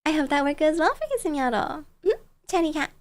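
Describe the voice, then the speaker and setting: A woman with a high voice chats casually on a livestream, telling stories as she goes. Her delivery is bubbly and playful, with a cutesy touch. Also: sing-song, quick pace. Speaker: streamer. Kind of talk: casual storytelling chat on a livestream.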